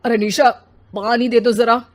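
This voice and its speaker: A woman's voice: on the high side, level tone, unhurried. A woman voicing a grumpy, lazy, self-important husband and father who complains and makes demands in a story. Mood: demanding.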